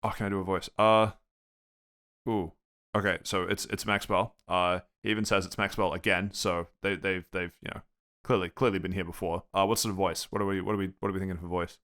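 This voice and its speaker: A man's voice: deep voice, flat delivery, a little fast, a bit bright. A man with a New Zealand accent hosting a casual gaming podcast, easygoing and reading listener questions aloud to his co-hosts. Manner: laid-back, reads listener questions aloud. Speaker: podcast host.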